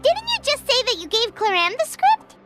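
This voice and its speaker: A woman voicing a tiny, childlike fairy companion in a video game, squeaky, excitable and curious. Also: moderate pace, full character voice. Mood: chirpy, inquisitive. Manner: speaks of herself in third person, exclamatory. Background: bright playful music.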